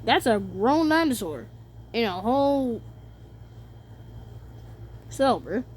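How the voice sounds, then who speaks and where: A woman reacts casually to a video in a high voice. She talks slowly, in slangy and incredulous commentary, with faint noise behind her. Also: very animated, darkish tone.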